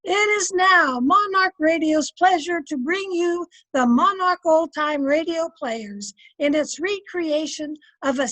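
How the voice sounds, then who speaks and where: A woman's voice: sits high, a bit bright, poor audio. A woman announcing and narrating an old-time radio drama from a script.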